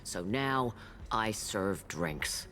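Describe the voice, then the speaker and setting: A woman's voice: rumbling low, expressive, very slow, fairly bright tone, faint music behind, exaggerated voice. A woman playing a blunt, salty old alien matriarch who tends bar in a video game, world-weary in manner. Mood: bitter, wry. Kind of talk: video game dialogue acting.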